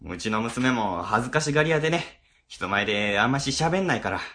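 A man's voice: mid-range voice, animated, brisk, sharp tone. A man playing a middle-aged civil servant boss in a comic radio drama, chatty, easily flustered and ingratiating. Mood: chatty, clueless.

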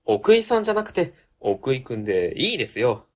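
A man playing an earnest, polite young rookie civil servant in a comedic radio drama, quick to react. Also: mid-range voice, very animated. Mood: friendly. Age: young adult.

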